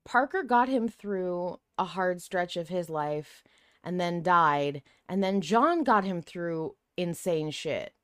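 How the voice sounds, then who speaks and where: A woman's voice: on the low side, expressive, slow pace, fairly bright tone, dry recording, studio quality. A woman hosting a podcast, chatting casually and musing informally about a story. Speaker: podcast host. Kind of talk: casual discussion of a fiction podcast.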